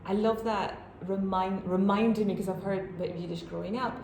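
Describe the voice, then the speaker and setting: A woman's voice: medium pitch, fairly even intonation, brisk, vocal fry. Affirming woman interviewer in echoey room. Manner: warm, affirming, frequent yeahs. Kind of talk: interview conversation. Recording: echoey room with audible noise.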